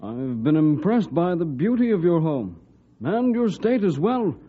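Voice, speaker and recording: Government secretary: dignified, fairly high voice, thin phone-like vintage radio sound. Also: male voice, very animated, unhurried, breathy edge, exaggerated voice. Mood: gracious, pleased. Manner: polite, formal. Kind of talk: old-time radio drama acting.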